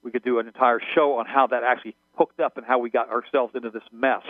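Rambling fast-talking man on a phone line. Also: medium pitch, steady tone, no room sound. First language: German. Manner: rambling, repeats words and restarts often. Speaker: guest. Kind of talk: phone-in radio interview. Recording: tinny phone line, dry.

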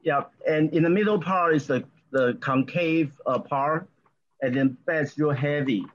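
A man from Taiwan with a Taiwanese accent, a guest talking in a halting, rambling way with lots of fillers and restarts. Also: a little high. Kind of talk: casual interview talk. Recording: dull lo-fi audio.

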